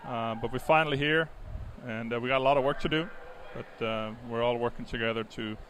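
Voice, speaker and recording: A man's voice: mid-range voice, a little fast. A middle-aged man from Denmark, a native Danish speaker, giving live swimming commentary in a conversational, explanatory way with some hesitation. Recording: steady clear background venue noise. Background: swim meet ambience.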